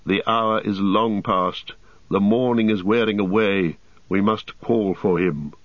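A man's voice: on the low side, unhurried, dry recording, dull audio, close to an everyday voice. A man voicing a grave, formal male ranger who leads with authority, for a storybook. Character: grave, commanding male ranger, leader of travellers.

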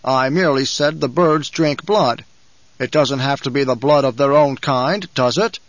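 A man voicing a frightened banker who speaks stiffly and slowly in anger. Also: mid-range voice, no echo, highs cut off, lightly acted. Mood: angry.

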